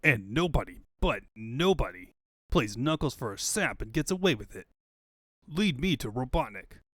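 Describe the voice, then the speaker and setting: A man voicing a gruff, suspicious young echidna in a comic fan dub, talking like an old-time wiseguy. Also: medium pitch, very animated, moderate pace, no room sound, studio quality. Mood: indignant.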